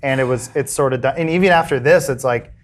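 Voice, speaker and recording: A young man hosting a casual podcast, with a mid-pitched voice that sits right in the ordinary middle. He rambles and jokes, breaking off sentences and restarting them as the thoughts come to him. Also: quick pace. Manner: rambling, self-interrupting, jokey. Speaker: podcast host. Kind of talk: casual chat.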